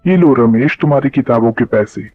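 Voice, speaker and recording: A man's voice: medium pitch, fairly quick, faint music behind, close to an everyday voice. A man playing a stern, authoritative village headman in a cartoon, recorded a little dull. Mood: calm. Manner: authoritative, scolding. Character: stern male village headman judging disputes.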